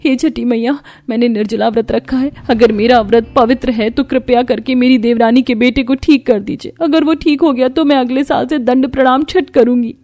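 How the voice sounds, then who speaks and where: A woman voicing a gentle, devout young wife in a cartoon story, speaking earnestly and pleadingly. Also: fairly high pitch, fairly even intonation, normal speed, few pauses, no echo, close to an everyday voice. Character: poor devout young wife, kind and patient.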